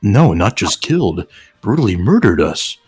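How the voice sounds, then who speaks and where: A man voicing a brooding lion, once a murdered human child, in a halting, mock-serious fan fiction reading. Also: on the low side, sing-song, a little mellow, slightly rough, dry recording. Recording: music behind the voice.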